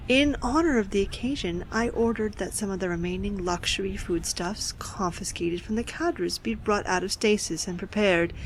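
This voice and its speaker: An actress playing a gracious but drily sardonic alien administrator in a sci-fi audio drama, formal and polished. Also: female voice, fairly low pitch, a bit bright, slight background noise, low-quality recording. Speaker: voice actor.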